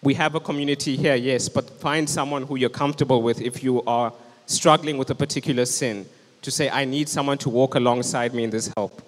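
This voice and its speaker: A young man delivers an earnest, urgent sermon into a handheld microphone. His mid-pitched voice rings out with a long hall reverb on the church stage. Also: fairly even intonation, fairly quick. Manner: earnest, urgent preaching, standing with a handheld mic. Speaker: preacher. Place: church stage with band instruments, indoors.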